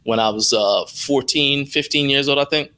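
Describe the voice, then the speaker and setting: Bright-voiced American man, casual storyteller. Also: medium pitch, normal speed. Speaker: interview guest, live stream producer. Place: indoor room at home on a video call. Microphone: headset on a video call.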